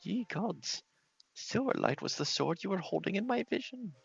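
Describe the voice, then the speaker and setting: A man's voice: high-pitched, lively intonation, moderate pace, gravelly, slightly rough, no room sound, dull audio, soft background music, voice pushed up, full character voice. A man voicing a dramatic, fearful old female fortune teller from a fantasy game, theatrical and foreboding. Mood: alarmed, foreboding. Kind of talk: fantasy game character voice in a read-aloud playthrough.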